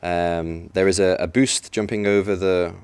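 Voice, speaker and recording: A man's voice: low voice, fairly even intonation, a little slow, darkish tone, clean tone, dry recording. A man commentating a live racing video game match, chatty and explaining tactics. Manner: chatty, explanatory, some restarts. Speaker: esports commentator. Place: event venue.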